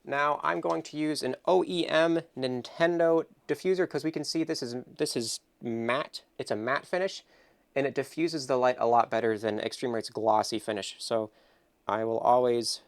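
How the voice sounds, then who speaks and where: A man's voice: medium pitch, steady tone, moderate pace, vocal fry, no room sound. Nasal-sounding man giving a casual tutorial. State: nasal from feeling under the weather. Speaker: host of a console-building tutorial channel. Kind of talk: casual hands-on tutorial commentary.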